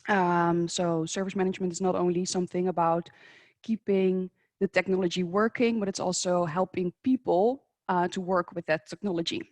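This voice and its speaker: A woman with a Dutch accent presents at an online conference, speaking in a measured, slightly hesitant way and pausing often on fillers. Her voice sits a little low. The sound is dry and close, as on a remote call. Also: fairly even intonation, darkish tone, dry recording. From Netherlands. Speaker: presenter at a professional webinar. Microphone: remote video call.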